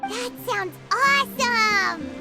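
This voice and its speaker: A tiny game fairy chirps in a squeaky, extremely high childlike voice. The delivery is eager and bouncy, swinging widely in pitch. Music plays clearly behind the lines. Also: female voice, lively intonation, slow, full character voice. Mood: excited. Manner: speaks of herself in third person. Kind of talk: English video game voice acting.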